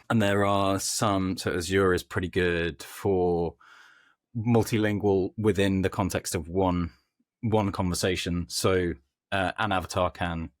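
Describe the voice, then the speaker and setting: A man speaking as a podcast guest, explaining things informally in conversational answers with hesitant pauses. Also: deep voice, no room sound, studio quality. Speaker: podcast guest, company head of growth.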